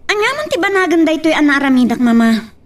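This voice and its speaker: A woman's voice: high-pitched, sing-song, fast talker. A woman acting a daughter talking to her mother in an Ilocano-accented radio-style love drama. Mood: questioning. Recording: distant microphone with noisy background.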